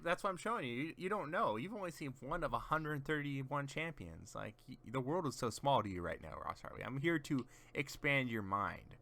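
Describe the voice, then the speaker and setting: A man's voice: mid-range voice, animated, normal speed, vocal fry, few pauses, bright, forceful tone. A man casually chatting on a gaming stream, giving rambling, teasing explanations to a friend as he shows off game characters.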